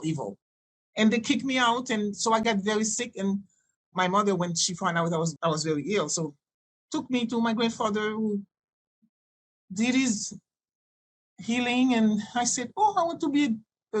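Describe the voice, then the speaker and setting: A woman in her sixties from Haiti, a native French and Creole speaker with a Haitian accent, telling personal stories in a halting, digressive way as a podcast guest. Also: mid-range voice, husky, rough recording. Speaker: podcast guest, doctor and healer. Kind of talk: conversational personal storytelling.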